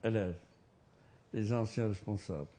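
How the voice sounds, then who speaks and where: A man from Mauritania, a senior guest in a televised panel debate, who speaks in a measured way and repeats his points for emphasis. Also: on the low side, crowd noise.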